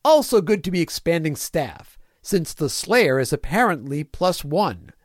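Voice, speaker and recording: A man performs a small-town mayor who is cheerfully evil and fussy about cleanliness. His voice sits a little high and swings expressively through clipped, folksy diary entries in a comic fan-fiction reading. The sound is dry and close. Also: big pitch swings, a little slow, dry recording. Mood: fussy, mildly peeved. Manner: folksy, prim, clipped list-like diary delivery. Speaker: fan audio drama voice actor.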